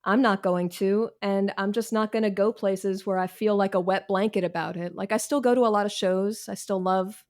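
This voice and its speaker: A woman's voice: mid-range voice, steady tone, normal speed, hardly any pauses. American woman, mid-range voice, podcast guest. Candid, wry, self-deprecating, casual and slangy. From Washington, D.C., United States. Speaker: author, interview guest. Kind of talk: casual conversational interview.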